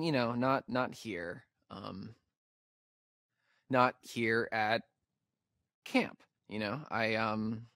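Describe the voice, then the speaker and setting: A nerdy young American guy speaks in a fairly low voice, recorded dry and close. He rambles in a halting, self-deprecating way, like natural video game dialogue from an easygoing but socially awkward camp counselor. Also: fairly bright tone, no echo. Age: young adult.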